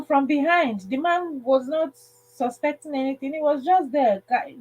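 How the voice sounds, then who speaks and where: A woman hosting a livestream, casually telling a story in a halting way, repeating phrases and checking in with listeners. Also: sits high, poor audio. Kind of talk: casual spoken storytelling with advice.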